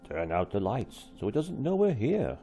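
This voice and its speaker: A man's voice: on the low side, huge pitch swings, normal speed, vocal fry, warm tone, faint music behind, pitched above the performer's own voice, heavily acted. A man voicing an anxious, alarmed father from a storybook.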